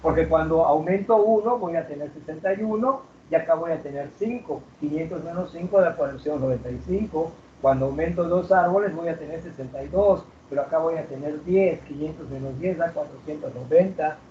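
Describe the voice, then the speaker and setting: A male teacher lectures a class from across an echoing classroom, his voice caught from a distance on a muffled recording. He keeps asking his students questions and stretches out the key words. His tone is mellow and slightly high for a man. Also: brisk, few pauses, slight background noise. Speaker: math teacher.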